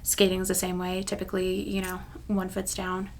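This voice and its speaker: Woman around 30 chatting away on her vlog, gravelly fry, rambly, bit of noise behind her. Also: on the low side, completely flat delivery, moderate pace. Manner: rambling, self-deprecating. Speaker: craft channel vlogger. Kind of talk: casual chatty vlog to camera.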